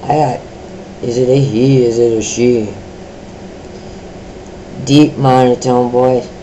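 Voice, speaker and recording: A middle-aged woman with a deep voice, sharing her own experiences in a personal vlog, speaking slowly with many pauses, ums and restarts. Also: fairly even intonation, background noise. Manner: slow, halting talk with many fillers and restarts.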